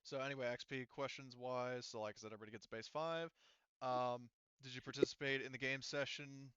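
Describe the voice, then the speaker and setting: Man, game master, bright crisp voice, casual talk, swears freely, dry slightly dull recording. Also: mid-range voice, fairly even intonation, fairly quick, no echo.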